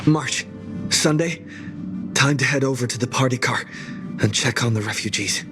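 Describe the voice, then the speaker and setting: A man's voice: medium pitch, normal speed, over loud music, natural voice. An actor playing a practical, level-headed male adventurer in a video game, purposeful in delivery. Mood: focused. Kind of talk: video game story dialogue.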